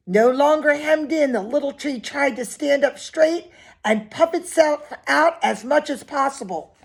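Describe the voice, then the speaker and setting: Slightly husky, higher-pitched woman reading a storybook. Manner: expressive, simple child-directed phrasing. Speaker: storyteller reading a picture book to children. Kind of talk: read-aloud storytelling with asides and questions to young listeners.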